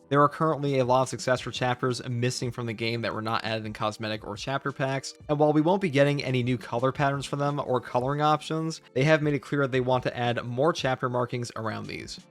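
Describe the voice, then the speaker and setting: Fast-talking man narrating gaming news. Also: mid-range voice. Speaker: gaming channel host. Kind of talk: scripted video game news commentary.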